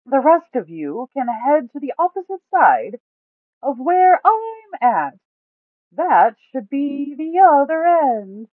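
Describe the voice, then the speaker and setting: A woman's voice: sits high, sing-song, dry recording, phone-quality sound, pitched above the performer's own voice, exaggerated voice. A woman voicing a boisterous male fantasy adventurer who hollers and stretches out his words. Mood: bold. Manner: drawn-out, hollering delivery.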